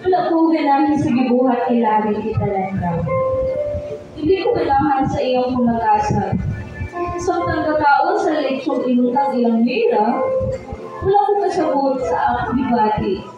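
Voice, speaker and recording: A woman's voice: sits high, lively intonation, moderate pace, highs cut off. A woman playing a young woman who tells her homecoming love story in a radio drama. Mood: earnest narrating. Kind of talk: radio drama narration and dialogue. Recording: very echoey, distant, music underneath.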